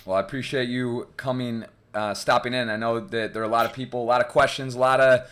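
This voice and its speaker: A man's voice: mid-range voice, steady tone, fast, a bit bright. Casual man hosting a podcast. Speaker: podcast host. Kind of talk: podcast interview.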